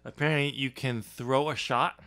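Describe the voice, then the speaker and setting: A man's voice: mid-range voice, steady tone, normal speed, pushed and bright. A man hosting a podcast, casually talking through a story with informal, chuckling banter. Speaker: podcast host and author. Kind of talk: casual book discussion.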